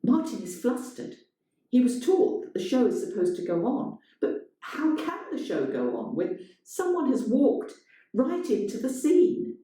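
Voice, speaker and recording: A woman's voice: on the high side, very animated, measured pace, mellow. A woman reading a scripted story as dramatic narration. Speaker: reader of a play-like story. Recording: very echoey, reverberant room.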